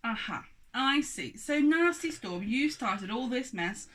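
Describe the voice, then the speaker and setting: Woman voicing a booming, furious father giant. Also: lively intonation, unhurried, a bit bright, exaggerated voice. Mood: suspicious, accusing. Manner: booming, accusatory, pompous. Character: huge thundering angry father giant with quivering moustache.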